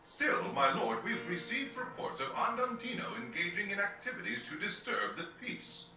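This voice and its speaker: An anxious court retainer from a video game, eager to defer to his lord. The voice is high and very sharp, with lively swoops. It sounds somewhat distant, over faint music. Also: moderate pace, roomy sound, slight background noise, exaggerated voice. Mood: anxious, deferential. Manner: deferential, fretful.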